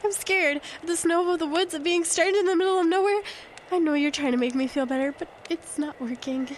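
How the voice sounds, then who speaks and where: An actress playing a frightened, doubting, defiant fiancée in an audio drama, with emphatic phrasing. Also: female voice, very high voice, a little fast, slight background noise. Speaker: actor. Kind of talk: audio drama acting with inner-monologue narration.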